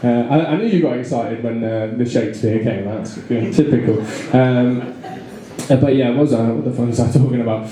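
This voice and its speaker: A young man with a London accent works a pub crowd, rambling through his stand-up with fillers and false starts. His voice is mellow and low in brightness. The room is very echoey. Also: a little fast. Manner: rambling, self-deprecating, many fillers and restarts. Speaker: stand-up comedian. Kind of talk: stand-up comedy set. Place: small pub comedy room with a live audience. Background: audience laughter.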